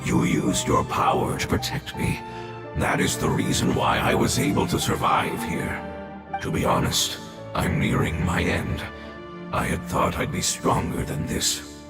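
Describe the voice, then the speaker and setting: An ancient, dying beast from a video game, rumbles in an extremely deep register, thick with gravel. The delivery is solemn, weary and formal, full of devotion. It echoes from a distance with music underneath. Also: male voice, sing-song, measured pace, exaggerated voice. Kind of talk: scripted video game voice acting.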